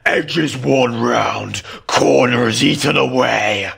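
An ancient monstrous gravelly creature. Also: male voice, exaggerated voice. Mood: ominous. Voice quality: rumbling, gravelly. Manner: loud, slow, ominous chanting. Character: ancient monstrous creature in a pony filly's body. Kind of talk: storybook reading.

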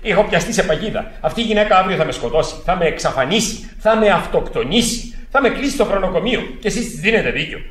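Radio drama: a paranoid husband, highish voice, swinging intonation, insistent and agitated. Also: male voice, lively intonation, hardly any pauses, fairly bright tone, husky, heavily acted. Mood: desperate pleading. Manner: agitated, pleading, emphatic repetition. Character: desperate, paranoid husband insisting his wife is an impostor. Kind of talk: radio theatre acting.

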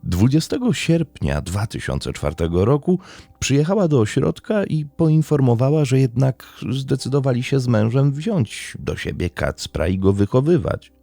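A man narrating a scripted true-crime documentary in a measured, serious way. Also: mid-range voice, big pitch swings, gravelly, dark tone, dry recording, soft background music.

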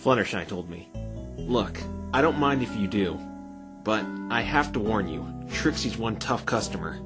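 A man's voice: lowish voice, animated, moderate pace, lightly acted. Man playing an earnest defense lawyer over music. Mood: earnest, explaining. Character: earnest adult male defense lawyer, plain-spoken and wry. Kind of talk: fan dub of visual novel game dialogue. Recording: background music under the voice.

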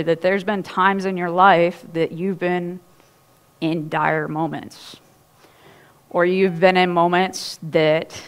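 A woman's voice: fairly low pitch, clean tone. A woman pastor in her thirties, preaching a sermon in a casual, storytelling way on a church stage. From Ohio. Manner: chatty, animated storytelling with laughs and asides.

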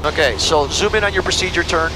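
A man's voice: fairly high pitch, fast talker, background noise. A middle-aged man, a flight instructor, coaching casually over a headset in a small plane. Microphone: aviation headset. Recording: cockpit intercom with music.

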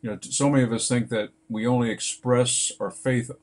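A man in his sixties interviewing a guest over a video call, halting and supportive, with many restarts. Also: mid-range voice, steady tone, darkish tone. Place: bright room at home.

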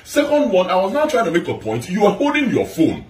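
A man's voice: sits high, wildly swooping pitch, brisk, a loud crowd. Insistent Nigerian man with a big voice. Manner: insistent, repeats his questions. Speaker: husband in a couple video. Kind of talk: heated domestic argument. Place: indoors at home. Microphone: phone camera in a split-screen video. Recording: echoey, from a distance.

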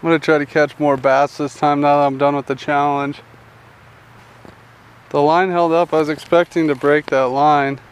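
A young man hosting a casual fishing vlog outdoors at a rural pond, chatty and excited, calling everyone "dude" and "guys", recorded on a head-mounted action camera. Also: on the high side, moderate pace, slight background noise. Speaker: fishing channel host.

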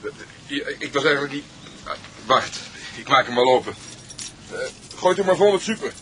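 An actor playing an amiable husband, by turns hesitant and playfully pompous, in a radio drama. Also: male voice, mid-range voice, expressive, normal speed, pushed and bright. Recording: noisy car ambience.

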